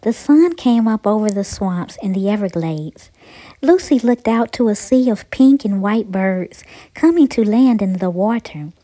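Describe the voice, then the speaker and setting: A woman's voice: mid-range voice, animated, measured pace, mellow, poor audio. A woman reading a children's storybook aloud expressively, as a storyteller.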